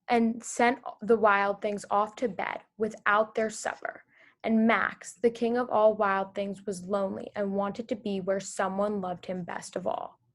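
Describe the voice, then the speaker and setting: A woman hosting a read-aloud for children over a video call, reading the story and asking them gentle questions. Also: mid-range voice. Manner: gentle, encouraging, with small restarts.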